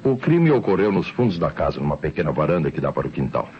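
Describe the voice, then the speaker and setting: A man's voice: very deep voice, sing-song, fast. An actor playing a methodical policeman giving a briefing in an old radio drama. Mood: methodical, explanatory. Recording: dull, slightly noisy old radio recording.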